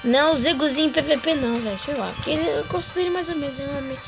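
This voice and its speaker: A young Brazilian woman with a hoarse, slightly high voice chats loosely over her gameplay, trailing off into slangy fillers. Game music plays clearly behind her. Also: racing. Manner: rambling slangy talk, full of fillers. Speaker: gaming channel host. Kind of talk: casual gameplay commentary.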